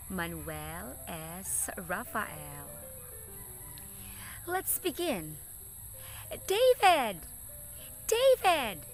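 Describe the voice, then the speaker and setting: A woman reads a children's story aloud as a teacher would. Her delivery is slow and deliberate, with very expressive, wide-swinging pitch. Music plays behind her.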